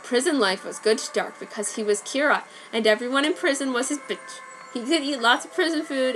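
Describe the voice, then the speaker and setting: A woman's voice: fairly high pitch, fairly bright tone, a little hiss or hum, low-quality recording. A woman giving a comic dramatic reading of fan fiction, with wry offhand asides. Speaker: reader. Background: faint background music.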